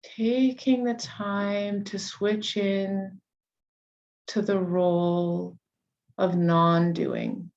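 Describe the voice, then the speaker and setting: A woman leading a guided meditation, giving soothing, unhurried instructions with long pauses between phrases. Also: mid-range voice, fairly even intonation, creaky, darkish tone, dull audio.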